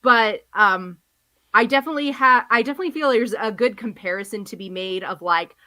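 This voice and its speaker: A woman co-hosting a podcast in casual discussion, hesitant, with many fillers, and quick to laugh. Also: on the high side, sharp tone, dry recording. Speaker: podcast co-host.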